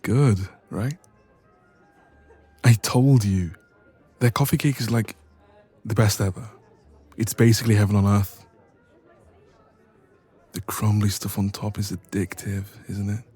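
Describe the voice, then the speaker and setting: Playful young male best friend, played by a man, romantic audio roleplay: mellow, slightly deep, breathy, intimate and chatty, faint music underneath. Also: a little slow, long pauses. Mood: warm, chatty. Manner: playful, teasing, expressive, soft laughs.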